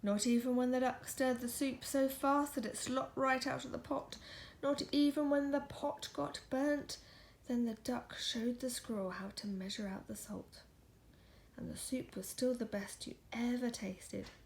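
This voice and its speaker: A woman reading a picture book softly in an ASMR storytime style, often slipping into a whisper and cooing to a pet. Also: high-pitched, fairly even intonation, measured pace, gravelly. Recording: faint background noise.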